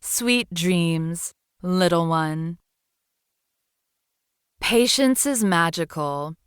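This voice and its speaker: A woman narrates a children's bedtime story at a very slow, deliberate pace. Her slightly low voice rises and falls expressively, with a soothing storyteller's delivery in a dry, close recording. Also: animated, smooth, no echo.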